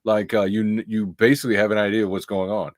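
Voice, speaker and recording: A man's voice: lowish voice, level tone, fast talker, no room sound, studio quality. A man with a radio voice, a comic creator guest talking easily and modestly, with some hesitation. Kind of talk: casual interview chat.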